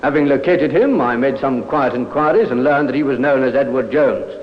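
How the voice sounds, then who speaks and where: An actor playing a dutiful, matter-of-fact police sergeant, clipped and respectful, in old radio drama style. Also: male voice, medium pitch, a little fast, lightly acted. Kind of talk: 1950s radio drama acting. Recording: echoey, muffled old radio recording.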